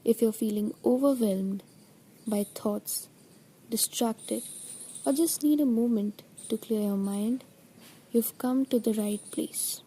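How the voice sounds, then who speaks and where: A woman guiding a meditation in a soothing, gentle, deliberate way, with a soft, breathy voice that trails toward a whisper. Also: a little high, slight background noise, rough recording. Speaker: meditation guide. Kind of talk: guided meditation narration.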